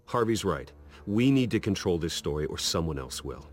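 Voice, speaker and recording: A brooding billionaire vigilante in a game drama. His voice is very low yet moves around in pitch, guarded and terse, over music. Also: big pitch swings, moderate pace. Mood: troubled, measured. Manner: terse, controlled. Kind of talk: video game drama acting.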